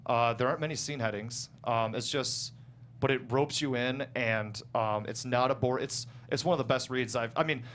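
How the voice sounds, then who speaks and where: A man's voice: mid-range voice, normal speed, a bit bright, slight background noise. A man talking casually as a guest screenwriter, rambling and self-deprecating as he goes. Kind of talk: casual conversation.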